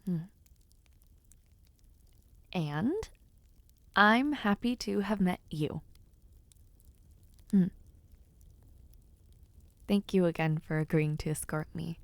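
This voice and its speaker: A woman playing a young noble debutante, sweet, playful and softly hesitant, in an intimate fantasy audio roleplay. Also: fairly low pitch, extremely slow, pauses often, no room sound. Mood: tender, grateful. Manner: soft, hesitant, gently teasing.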